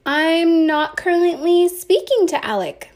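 A woman's voice: very high voice, animated, unhurried, darkish tone, voice pushed up, exaggerated voice. A woman voicing an earnest, exasperated teenage girl in a storybook reading, firm and matter-of-fact. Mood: indignant.

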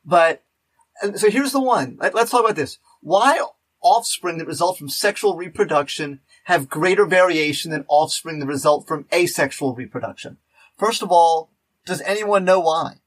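A man's voice: fairly high pitch, animated, moderate pace, slightly rough. A man hosting a podcast in casual chat, rambling and often interrupting himself mid-sentence. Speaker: podcast host.

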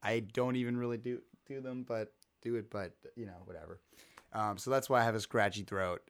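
A man's voice: fairly low pitch, fairly even intonation, brisk, smooth. A man with a scratchy throat, hosting a casual sports podcast and rambling with many restarts. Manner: rambling, self-interrupting. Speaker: podcast host.

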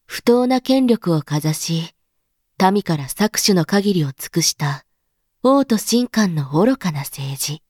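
A slow, teasing, scheming elite lady. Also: lowish voice, expressive, a little mellow, no room sound, studio quality. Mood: smug, scheming. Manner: teasing, haughty, feminine speech with knowing asides. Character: scheming, amused elite woman who secretly engineered a revolution. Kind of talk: voice drama monologue.

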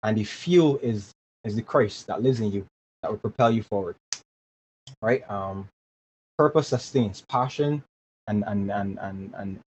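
A man's voice: lowish voice, a little slow, pauses often, a little mellow. A young man answers interview questions in an earnest, searching way, often doubling back on his words. His voice comes through a dull, lo-fi remote connection with a faint hiss behind it. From Barbados. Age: 20s. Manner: earnest, repeats words while searching for phrasing. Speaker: guest. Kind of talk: casual interview talk.

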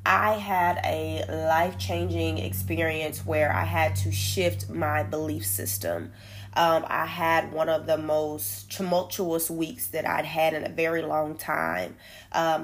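A woman's voice: deep voice, gravelly. A woman hosting a faith-based podcast, upbeat and emphatic, a bit congested and nasal. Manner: upbeat, emphatic, repeats for stress. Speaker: podcast host.

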